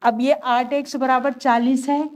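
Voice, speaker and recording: A woman's voice: sits high, flat delivery, a little slow, a little mellow. Woman teaching a maths lesson, patient. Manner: patient, step-by-step, asks and answers her own questions. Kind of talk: classroom lesson, explaining worked examples.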